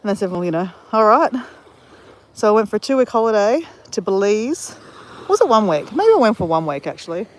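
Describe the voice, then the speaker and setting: A chatty Australian woman talks into her phone as she strolls along a beach. Her pitch sits mid-range and she rambles, often stopping to correct herself. Faint outdoor noise hums in the background. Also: big pitch swings, a little fast. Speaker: vlogger. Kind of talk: casual walking chat.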